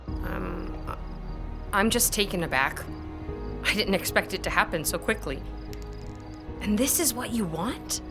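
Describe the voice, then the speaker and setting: A woman playing a composed, sceptical manor employee in a period audio drama, with a measured, formal, restrained manner. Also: mid-range voice, animated, music in the background. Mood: subdued, hurt.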